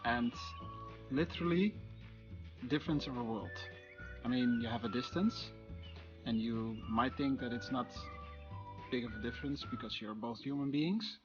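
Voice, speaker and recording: A young Dutch man talks in English with a Dutch accent, calm and a little hesitant as he puts his thoughts together. His pitch sits in the middle. Music plays clearly behind him outdoors. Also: normal speed, long pauses, highs cut off. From Netherlands. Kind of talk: casual chat to camera. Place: outdoor patio by a wooden fence.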